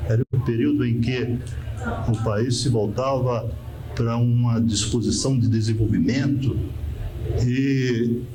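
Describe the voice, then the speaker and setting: A man in his eighties from Northeast Brazil with a Northeastern Brazilian accent, recalling his past slowly and reflectively in an oral-history interview. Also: medium pitch, fairly even intonation, a crowd in the background, poor audio. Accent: Northeastern Brazilian Portuguese. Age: 80s. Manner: slow, measured, reflective with pauses. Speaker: architect recounting memories.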